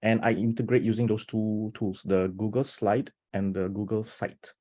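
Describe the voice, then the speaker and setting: Husky low-voiced man, animated teacher. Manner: animated, talks fast with many restarts and rhetorical "right?". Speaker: teacher presenting at an education webinar. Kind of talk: enthusiastic webinar talk. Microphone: video call.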